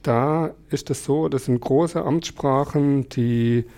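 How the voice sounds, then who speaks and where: A man in his forties answers interview questions in a measured, explanatory way, pausing often to find his words. His voice is mellow and warm rather than bright. His first language is Alemannic. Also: mid-range voice, vocal fry. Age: 40s. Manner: measured, explanatory, frequent filler pauses. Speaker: podcast guest. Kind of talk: conversational interview.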